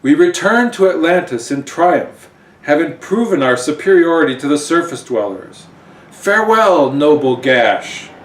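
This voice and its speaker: A man's voice: fairly high pitch, sing-song, measured pace, voice pushed up. A man voicing a haughty, gracious undersea warrior queen in a regal, formal, emphatic way, from a comic story. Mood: triumphant. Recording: roomy, distant mic, faint noise.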